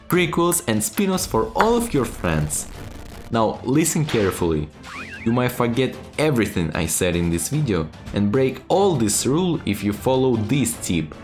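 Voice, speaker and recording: A man narrating a tongue-in-cheek video tutorial in a playful, mock-serious, emphatic way. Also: mid-range voice. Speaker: video creator giving sarcastic game-making tips.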